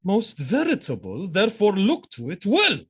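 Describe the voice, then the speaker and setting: An actor playing a jealous, vengeful military commander in a Shakespearean audio drama, theatrical and brooding. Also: male voice, sits high, huge pitch swings, normal speed, breathy edge, exaggerated voice. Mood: brooding, suspicious. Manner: theatrical, brooding, erupting into shouts.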